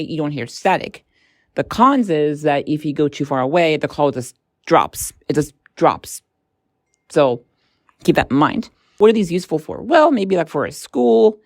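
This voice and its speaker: Female tech vlogger, low register, dry sound. Unscripted, hesitant, rambling review delivery. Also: dry recording. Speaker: product reviewer and channel host. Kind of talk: casual tech review vlog.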